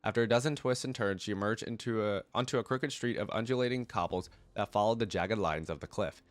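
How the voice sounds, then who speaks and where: A man's voice: lowish voice, fast talker, no echo. A man with a congested, cold-affected voice, reading a story aloud and breaking off into rambling, casual commentary as a reaction video host. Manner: rambling, thinking aloud in asides.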